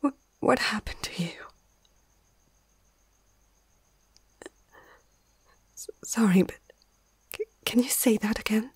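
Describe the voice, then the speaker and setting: A woman's voice: a little high, moderate pace, husky, no echo. A woman playing a nervous but caring young vet in an ASMR roleplay, hesitant and often half-whispering close to the mic. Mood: scared, hesitant. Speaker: amateur ASMR roleplay performer.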